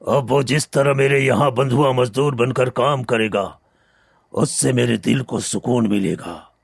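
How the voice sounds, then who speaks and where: A man voicing a proud, vengeful moneylender villain in a cartoon story, with a deliberate, menacing manner. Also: medium pitch, creaky, slightly rough, no room sound. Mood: gloating, vengeful. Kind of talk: Hindi cartoon story acting.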